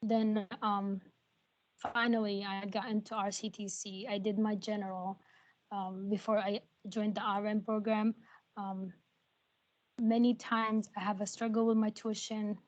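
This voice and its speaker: Woman, first language Arabic, halting, earnest, flat tone, video call. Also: mid-range voice, poor audio. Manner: halting, many ums, soft and earnest. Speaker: nursing graduate. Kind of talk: personal story on a video call.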